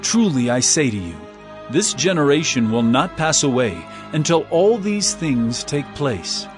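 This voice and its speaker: An actor playing a solemn, authoritative holy teacher prophesying in an earnest, declamatory way in a dramatized audio Bible. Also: male voice, mid-range voice, big pitch swings, measured pace, over music. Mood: solemn proclamation.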